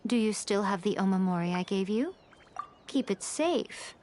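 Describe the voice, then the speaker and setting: A woman's voice: medium pitch, expressive, normal speed, a little mellow, natural voice. A woman playing a sly, elegant shrine priestess in a video game, speaking in a composed, formal and knowingly playful way. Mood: teasing. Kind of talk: scripted game story dialogue.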